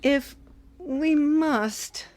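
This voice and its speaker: A woman's voice: sits high, drawn-out words. An actress playing a wistful, easily delighted married woman out sightseeing in a radio drama, gushing with exclamations. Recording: radio play with soft music underneath.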